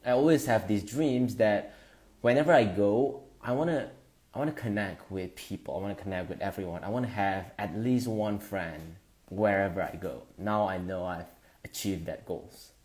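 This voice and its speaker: A man, hosting a casual live stream, greeting viewers and talking in halting bursts with lots of fillers. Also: lowish voice, a little mellow. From Indonesia. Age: 20s.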